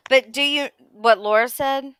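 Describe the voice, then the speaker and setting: A woman's voice: high-pitched, a little slow, fairly bright tone. A woman on a casual livestream panel, talking and reading posts aloud.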